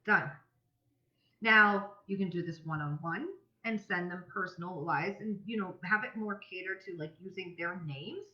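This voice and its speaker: A woman's voice: on the low side, normal speed. A woman talking casually and emphatically to followers on a live stream, with informal slang, as a coach for a health and fitness business. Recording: dull, roomy live-stream audio.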